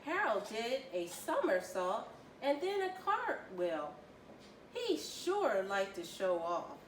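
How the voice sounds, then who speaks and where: A woman narrates a children's storybook in a lively, sweeping voice on the high side. She tells it deliberately and expressively. The sound is echoey, picked up from a distance. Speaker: volunteer children's story reader. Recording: roomy, distant mic, faint noise.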